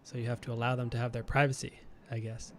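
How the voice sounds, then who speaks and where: A man from Canada chats casually as a podcast co-host. His voice sits at a middle pitch, and he thinks aloud with restarts and easy chuckles. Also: little pitch movement, fast, a little hiss or hum.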